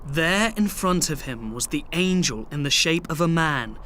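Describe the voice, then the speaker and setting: A man narrating a scripted children's radio story in clear, measured storytelling. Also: fairly high pitch, animated, sharp tone, slight background noise.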